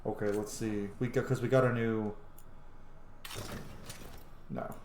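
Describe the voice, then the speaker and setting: A man's voice: fairly low pitch, little pitch movement, takes it slowly, darkish tone. A man doing casual let's play commentary, informal and sweary, thinking aloud as he plays. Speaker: gaming video host. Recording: echoey room with faint background noise.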